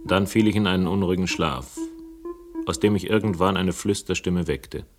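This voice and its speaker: An actor playing a wry, brooding first-person narrator in a radio crime drama, measured and sardonic. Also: male voice, low-pitched, natural voice. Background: music.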